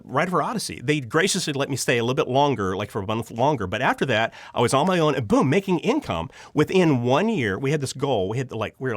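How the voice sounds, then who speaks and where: A man speaks casually as an interview guest, recorded close and dry. His pitch swings widely as he tells personal stories, and he acts out reactions in an animated way. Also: no echo. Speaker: interview guest, audio drama writer and producer.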